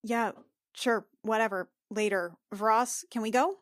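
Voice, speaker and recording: A woman playing a flustered, weary noblewoman healer in a tabletop game, talking in a halting, apologetic way. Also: a little high, steady tone, dry recording, studio quality, natural voice. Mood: curt, dismissive.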